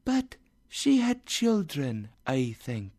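A storyteller voicing a gentle, thoughtful spirit guide in a soft, hushed voice. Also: mid-range voice, very animated, slow pace, voice pushed up, heavily acted. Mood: calm, solemn.

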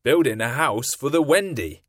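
An earnest young boy with a raised, lively voice, as a man reads him aloud. The recording is dry and close. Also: medium pitch, moderate pace, dry recording, pitched above the performer's own voice, lightly acted.

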